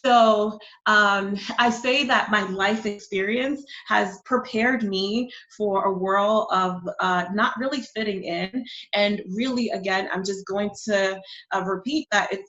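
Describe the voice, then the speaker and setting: A woman's voice: medium pitch, slow, fairly bright tone. Confident woman guest in a roomy space. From Honduras. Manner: confident, emphatic, reflective. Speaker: marketing strategist, guest. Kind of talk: interview conversation. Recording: dull, roomy sound.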